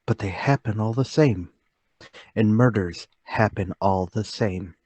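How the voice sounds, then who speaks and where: A man playing a troubled, forgetful older gentleman in a radio mystery drama, speaking politely and haltingly. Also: lowish voice, breathy edge, dry recording. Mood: subdued, grave. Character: troubled, forgetful, soft-spoken older gentleman, haunted and deliberate.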